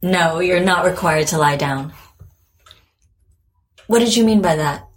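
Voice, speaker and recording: An actress playing a composed, probing psychotherapist with a measured, clinical manner in a naturalistic audio drama. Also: female voice, fairly low pitch, breathy edge. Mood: patient, composed. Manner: measured, calm, clinical questioning.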